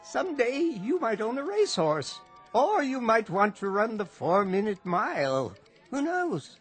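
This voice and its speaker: A genial old drunk at a bar in a radio drama. He declaims floridly and slowly, his pitch swinging wildly from word to word. Music plays behind him. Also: a bit bright, husky, exaggerated voice. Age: older. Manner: theatrical, florid, slow declaiming. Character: genial old barfly, grandiloquent, rambling drunk.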